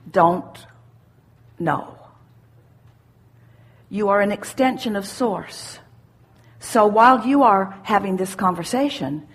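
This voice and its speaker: Casting: woman, husky voice, spiritual seminar teacher, deliberate and emphatic, explains in measured phrases. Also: mid-range voice. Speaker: spiritual teacher answering audience questions. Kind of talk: live spiritual seminar talk, unscripted question and answer.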